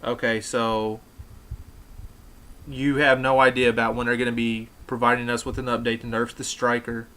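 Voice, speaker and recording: A man speaks in a mid-pitched voice, chatting informally. He sounds like a plain-spoken customer talking casually, as a video maker would. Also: flat delivery, moderate pace, bright, forceful tone, poor audio. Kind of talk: casual chat.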